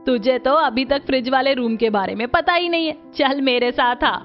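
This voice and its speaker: A woman's voice: high-pitched, normal speed, lo-fi sound, soft background music, close to an everyday voice. A woman voicing a kind, generous middle-aged mother-in-law in a cartoon story. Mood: indulgent.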